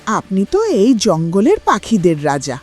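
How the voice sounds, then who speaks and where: A woman's voice: medium pitch, huge pitch swings, measured pace, darkish tone. A woman voicing a small grieving mother bird in a cartoon moral story, pleading for justice. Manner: plaintive, pleading. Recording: music behind the voice.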